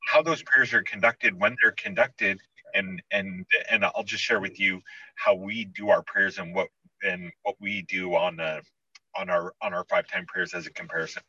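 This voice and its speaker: A man hosting a casual interfaith conversation, talking quickly and repeating words as he goes. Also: low-pitched, steady tone, sharp tone, husky, no room sound, distant mic, muffled recording.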